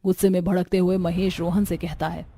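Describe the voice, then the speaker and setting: A woman's voice: lowish voice, flat delivery, a little fast, dark tone. A woman narrator reading a scripted family story for a cartoon.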